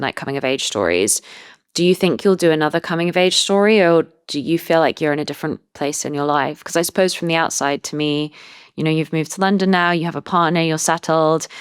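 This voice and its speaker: A woman's voice: deep voice, fairly quick, smooth, dry recording, clean studio sound. A woman interviewing conversationally, reflecting thoughtfully on what she has heard and putting questions to her guest.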